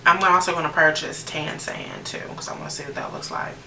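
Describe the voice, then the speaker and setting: An American woman in her late thirties talks casually through a makeup routine. Her voice is low and gravelly at the edges. It is picked up at a distance in an echoey room. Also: huge pitch swings, a little fast. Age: late 30s. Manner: chatty, informal, addressing viewers directly. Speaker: beauty vlogger. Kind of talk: casual chatty makeup tutorial. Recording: roomy, distant mic with noticeable background noise.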